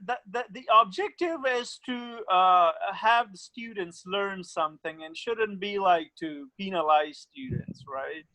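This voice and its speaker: A young man from Afghanistan, a student asking his instructor questions on a video call, hesitant and halting. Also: high-pitched, animated, sharp tone, no room sound, rough recording. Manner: hesitant, many fillers and restarts. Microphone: computer mic on a video call.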